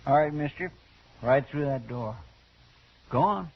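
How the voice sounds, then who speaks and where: An actor playing a loyal, excitable deputy in an old radio western, folksy, earnest and easily riled. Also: male voice, mid-range voice, a little slow. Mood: businesslike. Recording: dull, faintly noisy old radio recording.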